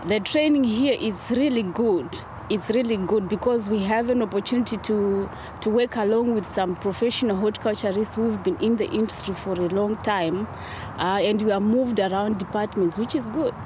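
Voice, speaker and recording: A woman's voice: mid-range voice, moderate pace, noisy background. A woman from Zimbabwe, a horticulture student, speaking earnestly about her training.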